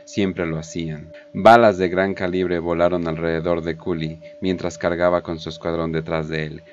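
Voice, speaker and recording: A man with a deep voice narrates a dramatic story from a script. The recording is slightly dull and lo-fi, with music clearly playing behind him. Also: little pitch movement, normal speed. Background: prominent background music.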